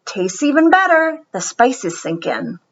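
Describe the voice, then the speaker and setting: Woman playing a patient, upbeat mother, husky voice. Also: high voice, big pitch swings, measured pace, lo-fi sound, pitched above the performer's own voice, full character voice. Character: reassuring, proud mother in a picture book.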